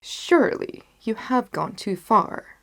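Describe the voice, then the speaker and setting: A woman reading the part of a loyal, stubborn gentleman companion in a storybook, earnest and exclamatory. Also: on the high side, sing-song, slow, creaky, voice pushed up, exaggerated voice. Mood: alarmed.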